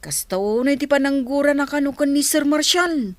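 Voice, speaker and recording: A woman's voice: high voice, normal speed, dry recording, natural voice. A woman acting a loyal, tattling young household helper in an Ilocano radio drama, eager and confiding. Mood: confiding, concerned.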